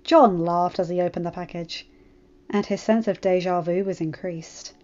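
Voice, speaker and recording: A woman's voice: lowish voice, steady tone, normal speed, warm tone. A woman reading a story aloud as a scripted narration. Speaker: amateur fan fiction narrator. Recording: muffled lo-fi recording, music behind. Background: music.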